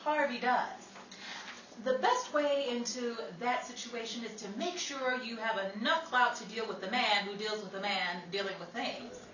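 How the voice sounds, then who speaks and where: A woman's voice: fairly high pitch, moderate pace, natural voice. A woman playing a sassy, flamboyant Broadway showgirl in a 1930s-style radio comedy, slangy and theatrical. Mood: wisecracking. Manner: theatrical, slangy, mock-indignant. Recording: roomy, distant, noisy recording with crowd.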